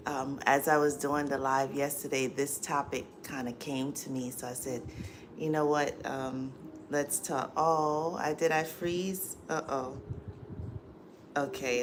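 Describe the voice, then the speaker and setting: A woman's voice: rumbling low, background noise. A woman hosting a live stream in casual chat, greeting viewers with many ums, stuffy from allergies and with a dry throat. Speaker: livestream host and coach.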